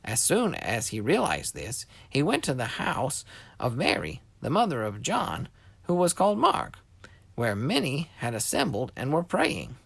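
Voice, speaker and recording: A man's voice: medium pitch, huge pitch swings, a little slow, vocal fry, fairly bright tone, breathy edge, no echo. A man reading a scripture story expressively for children as a scripted narration.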